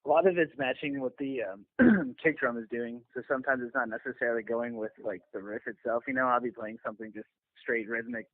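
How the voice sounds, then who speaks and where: A man being interviewed as a touring bassist, chatting casually and loosely in a laid-back way over a lo-fi phone line. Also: steady tone, brisk, no room sound. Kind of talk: casual chat.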